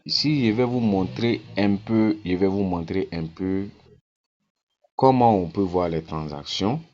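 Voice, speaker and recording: Deep-voiced man, insistent pitch. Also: darkish tone, muffled recording. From Ghana. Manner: repeats phrases insistently, persuasive. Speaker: network-marketing promoter. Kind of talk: casual screen walkthrough.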